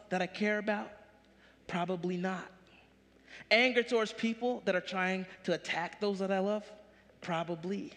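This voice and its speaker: A man in his thirties preaches from a big church stage, his high-set voice lifting into punchy questions. The sound rings out with the long reverb of a large hall. He is animated and direct, in full sermon mode. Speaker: pastor.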